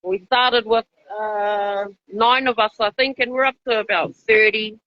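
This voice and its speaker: A woman's voice: mid-range voice, fairly even intonation, measured pace. A woman with a New Zealand accent giving an earnest, informal live interview as an activist. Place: outside a hospital, live call. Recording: dull lo-fi live stream audio.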